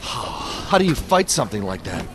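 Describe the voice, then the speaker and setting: An actor playing a grim, bitter soldier surviving an alien invasion in an audio drama, speaking with gritty urgency. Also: male voice, on the low side, very animated, normal speed, background noise. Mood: bitter, urgent.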